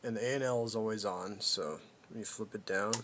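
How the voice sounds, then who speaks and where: A man giving a casual, unscripted product walkthrough as a hobbyist, haltingly thinking aloud as he goes. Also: lowish voice, a bit bright, a little hiss or hum. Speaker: hobbyist presenter demonstrating a vintage CB radio.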